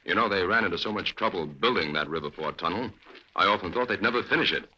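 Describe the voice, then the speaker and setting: A man playing a calm male crime-fighting hero in a 1940s radio drama, speaking in a steady, decisive way. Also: low voice, quick pace, breathy edge, dull audio, full character voice. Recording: old radio recording with crowd.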